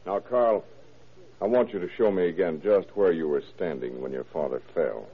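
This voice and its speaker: Very deep-voiced gruff radio detective. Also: male voice, normal speed. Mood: probing. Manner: clipped, commanding, questioning. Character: gruff, probing male police detective with dry sarcasm. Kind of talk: 1940s radio crime drama acting. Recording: muffled, band-limited old radio recording.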